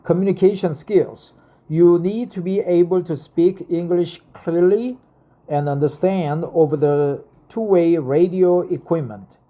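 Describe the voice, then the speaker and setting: A man in his fifties speaks English with a Korean accent, at a slow pace and in a slightly high, mellow voice. He reads points out deliberately, then drifts into halting, casual asides, repeating phrases as he goes. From South Korea. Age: 50s. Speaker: vlogger explaining a career path. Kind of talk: reading aloud from notes with casual personal asides.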